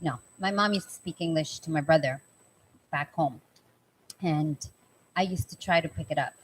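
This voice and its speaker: A young woman from Canada, co-hosting a casual talk show and teasing her co-host in an animated, argumentative way. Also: low voice, moderate pace, breathy edge, low-quality recording. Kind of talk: casual chat.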